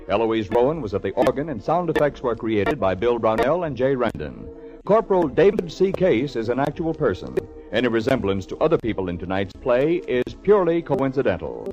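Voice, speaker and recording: An American man works as a polished radio announcer in formal 1940s style. His pitch is lively and varied. The old recording is dull, with music behind him.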